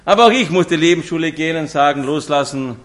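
A man's voice: fairly high pitch, fast talker. A man, preaching a sermon to a congregation and telling stories with direct questions to his listeners. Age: 50s.

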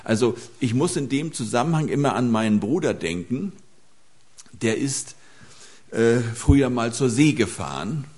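A man's voice: medium pitch, expressive, a little slow, a little mellow. A man preaching a sermon to a gathering, telling anecdotes with small hesitations.

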